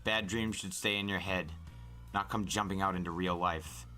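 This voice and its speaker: A man's voice: deep voice, steady tone, normal speed, sharp tone, soft background music. A man reading a novel aloud in the first person as a storyteller.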